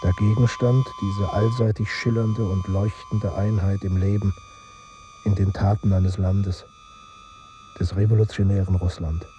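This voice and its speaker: An actor playing a grave essayist in a radio play, reading in a measured, formal way. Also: male voice, lowish voice, little pitch movement, background noise, natural voice. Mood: reflective.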